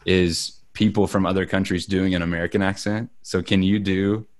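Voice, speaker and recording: A man from Arkansas, co-hosting a podcast in casual chat. His voice is very deep, and he talks in a folksy, enthusiastic way. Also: little pitch movement, normal speed, noisy background. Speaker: podcast co-host.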